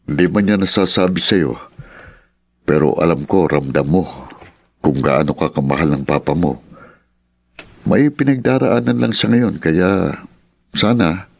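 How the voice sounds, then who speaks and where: A man's voice: sits low, expressive, unhurried, vocal fry, pauses often, a little mellow, heavily acted. A man with a Filipino accent acting a caring, frank younger brother and uncle in a Tagalog radio drama, with an earnest, familiar way of talking. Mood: gentle concern.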